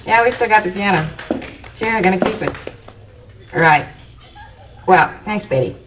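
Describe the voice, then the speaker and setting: An actress playing a blunt, chatty waitress in a 1950s radio crime drama, with brassy, streetwise talk. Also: female voice, mid-range voice, lively intonation, a little slow, muffled recording, background noise, lightly acted.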